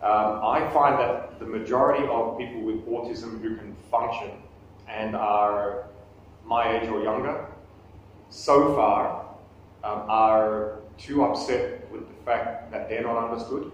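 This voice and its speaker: A man's voice: fairly low pitch, steady tone, takes it slowly, highs cut off. A man in his thirties speaks to a small audience, joking at his own expense and restarting sentences as he goes. He is recorded by a camera across a small meeting room, so his voice sounds distant and very echoey, with faint background noise. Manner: conversational, self-deprecating humor, frequent restarts. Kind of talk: live talk with questions. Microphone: far camera on table.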